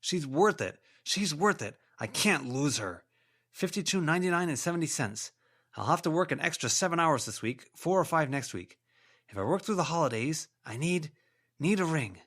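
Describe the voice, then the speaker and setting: A man's voice: a little high, expressive, normal speed, fairly bright tone, pitched above the performer's own voice. A man voicing a desperate ghost of a debt-ridden businessman in an audiobook, muttering obsessively and repeating himself. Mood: frantic, obsessive. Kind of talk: audiobook character voice.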